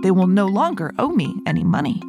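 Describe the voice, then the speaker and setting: A woman's voice: medium pitch, wildly swooping pitch, a little fast, music in the background. A woman voicing an angry, greedy rich man who barks and growls demands in a children's folktale. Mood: angry, bargaining.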